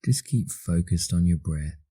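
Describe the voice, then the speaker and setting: An Australian man leading a guided meditation in a soothing manner, leaving long pauses between slow instructions. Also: low voice, expressive, dark tone, slightly rough, no echo, clean studio sound.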